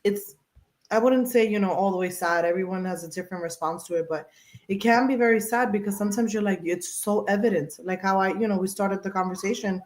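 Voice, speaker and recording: A woman's voice: medium pitch, a little fast. Emphatic woman podcast host, echoey room. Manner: animated, emphatic, explains with everyday examples. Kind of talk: casual chat.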